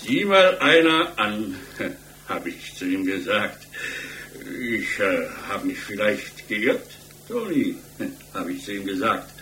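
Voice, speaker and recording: A man's voice: lowish voice, fairly bright tone, exaggerated voice. An actor playing a chatty, slyly knowing village postman, hesitant and repetitive, heard as a taped statement in a radio play. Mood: sly, amused. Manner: drawling, hesitant, repetitive, chuckling. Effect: tape playback. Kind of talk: 1960s German radio play acting, witness statement on tape. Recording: noisy taped playback.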